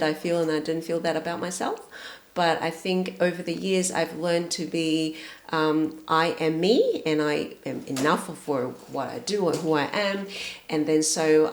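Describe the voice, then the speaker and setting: Soft-spoken woman in a roomy space. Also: low voice. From Hong Kong. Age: 40s. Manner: thoughtful, lots of um fillers, soft-spoken. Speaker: guest, retail and education manager. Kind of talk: casual interview chat. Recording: roomy echo.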